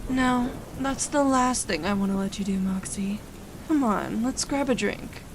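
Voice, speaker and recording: A woman's voice: a little high, unhurried, background noise. A woman voicing a confident, take-charge young female trainer in a fan fiction reading, friendly and teasing. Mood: friendly insistence.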